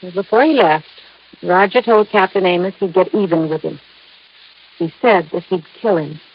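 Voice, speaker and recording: A woman's voice: fairly low pitch, normal speed, husky. An American actress playing an anxious, coy, earnest young woman client in a 1950s radio drama. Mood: grave, earnest. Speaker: actress in a radio detective series. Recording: muffled old radio recording.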